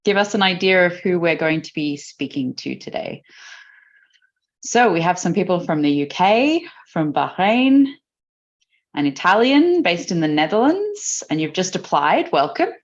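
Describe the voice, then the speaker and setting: A woman's voice: on the low side, very animated, measured pace. A woman with an Australian accent, hosting a live webinar in a friendly, chatty way with fillers. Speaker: webinar host. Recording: dull lo-fi video call audio.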